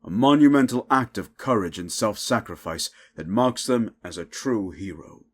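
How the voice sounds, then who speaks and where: Man acting a low, grave superhero leader. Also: animated. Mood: grave, formal. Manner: grave, measured, official. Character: dignified, solemn male superhero guild leader. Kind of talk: formal interview acting in audio drama.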